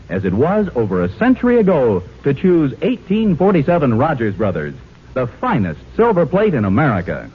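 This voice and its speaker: A man's voice: a little high, slightly rough, narrow, tinny audio. A man announcing a 1940s radio commercial in a polished, persuasive sales delivery on an old radio recording. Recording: thin band-limited old radio recording.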